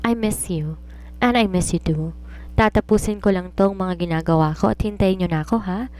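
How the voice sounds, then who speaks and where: A woman's voice: medium pitch, normal speed, a little mellow, lightly acted. A woman voicing a doting, nagging widowed mother and businesswoman, mixing Tagalog and English in an affectionate scolding way. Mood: fond, fussing. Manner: Taglish, scolding yet affectionate.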